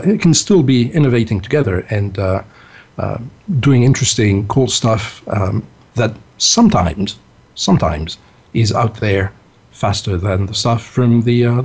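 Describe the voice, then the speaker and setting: A man's voice: lowish voice, darkish tone, breathy edge. A man being interviewed as a bank executive, answering with frequent ums and uhs. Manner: hesitant, frequent uh and um.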